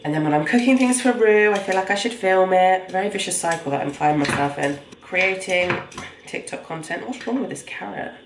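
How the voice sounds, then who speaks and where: A young woman with a low, nasal voice, congested from a cold. She chats in a rambling, conversational way as she cooks. Her voice rings around an echoey room, with a faint noise underneath. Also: fairly quick. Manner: rambling, conversational, talks while cooking. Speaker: vlogger. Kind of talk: casual chatty vlog. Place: home kitchen.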